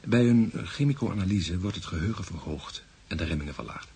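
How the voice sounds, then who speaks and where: An actor playing a calm psychiatrist in a radio play, coaxing and probing in a measured, clinical way. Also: male voice, low voice, slightly rough, full character voice. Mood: explanatory. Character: calm, probing male psychiatrist guiding a patient. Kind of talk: Dutch-language radio play acting.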